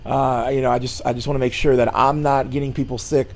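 A slightly hoarse middle-aged man hosting a weekly church show, giving an earnest, informal Bible lesson with many fillers and restarts. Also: mid-range voice, flat delivery, fast, darkish tone. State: slightly hoarse, losing his voice.

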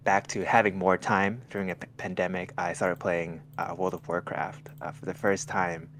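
A man's voice: sits low, little pitch movement, normal speed, a bit bright, slight background noise. A young man from Thailand, a podcast guest in a casual chat over a call, talking hesitantly with many restarts and fillers.

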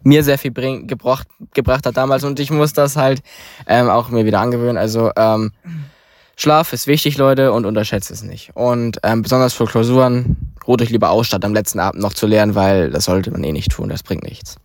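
Teen podcast co-host, mid voice, dry close sound, rambling with lots of ums. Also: male voice, mid-range voice, fairly even intonation, a little fast, no room sound. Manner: rambling, hesitant with many fillers. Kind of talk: casual chat.

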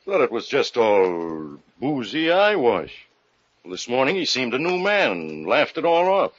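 A man's voice: mid-range voice, wildly swooping pitch, a little slow, dry recording. A man playing a blunt, wisecracking police inspector with slangy, hard-boiled delivery in 1940s radio mystery style. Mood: wry warning. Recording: muffled old-time radio recording.

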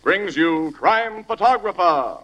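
A man's voice: high-pitched, animated, slow, fairly bright tone, highs cut off, full character voice. A man with an American accent announcing and narrating a 1940s radio drama in a polished, formal way. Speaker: announcer and story narrator for a sponsored radio show. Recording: vintage radio broadcast with music.

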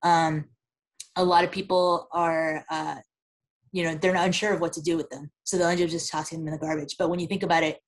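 A woman who is a guest expert on a podcast, explaining in a measured, steady way with some fillers. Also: low-pitched. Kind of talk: casual podcast conversation.

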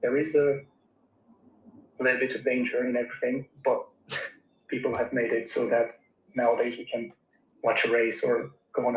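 Flat-voiced young man from Romania, echoey. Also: medium pitch, muffled recording, slight background noise. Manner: thoughtful, hesitant, many fillers and restarts. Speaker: engineer guest. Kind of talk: casual interview answers.

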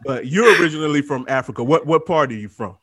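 A relaxed man hosting a podcast, his voice pitched a little high for a man and heard in a dry, close room. He keeps it loose and slangy, with easy filler words. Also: fast talker, no room sound. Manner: laid-back, slangy, frequent "man" and "you know". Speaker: podcast host. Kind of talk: casual interview chat.

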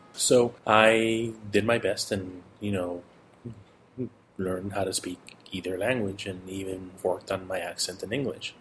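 A middle-aged man from Mexico giving a casual personal update, relaxed and rambling, with fillers and self-corrections. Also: lowish voice, a little hiss or hum, poor audio. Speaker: audio blogger.

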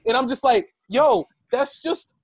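A man's voice: very high voice, measured pace, no room sound. A young man of Dominican background telling a personal story in casual conversation, full of "like" and "yo.". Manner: animated storytelling, heavy use of "like".

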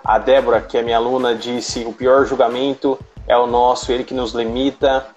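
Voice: medium pitch, level tone, normal speed, darkish tone, dull audio, soft background music. A host and English teacher chatting on a live stream, encouraging and slipping in English words. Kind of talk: casual live interview chat.

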